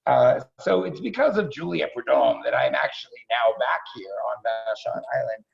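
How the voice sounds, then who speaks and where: Gravelly middle-aged man hosting, effusive. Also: medium pitch, normal speed. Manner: effusive, repeats phrases for emphasis. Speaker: host of a staged reading. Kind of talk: casual, unscripted introduction. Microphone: video call. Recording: dull video call audio.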